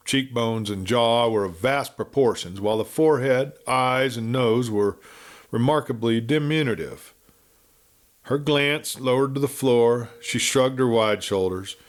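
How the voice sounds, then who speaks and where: A man reading a western novel aloud as scripted narration, in an expressive, dramatic storytelling manner. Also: medium pitch. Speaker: audiobook reader.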